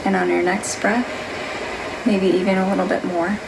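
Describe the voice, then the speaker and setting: A woman leading a guided meditation, giving gentle, unhurried instructions in a soothing manner. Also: medium pitch, little pitch movement, vocal fry, background noise. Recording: music behind the voice. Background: music.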